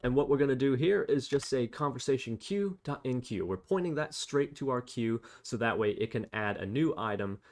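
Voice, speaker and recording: A man's voice: mid-range voice, expressive, moderate pace, few pauses, darkish tone. A man hosting an explanatory programming tutorial, talking through each step as he types. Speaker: tutorial host. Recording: faint noise, keyboard typing clicks. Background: computer keyboard typing and clicks.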